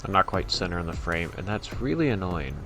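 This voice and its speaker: A man's voice: low-pitched, sing-song, a little fast. A man hosting a gaming video, giving casual, rambling commentary as he plays. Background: faint game music.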